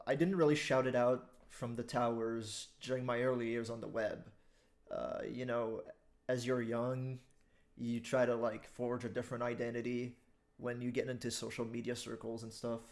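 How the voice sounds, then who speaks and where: A man in his twenties from Quebec with a Quebec French accent, a native French speaker delivering a casual, partly scripted monologue with frequent fillers. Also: mid-range voice. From Quebec, Canada. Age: 20s. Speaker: YouTuber.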